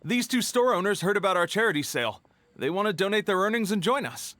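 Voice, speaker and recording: A man voicing an eager, good-natured young helper in a video game, announcing things excitedly. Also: sits high, fast, no echo. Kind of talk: game character acting.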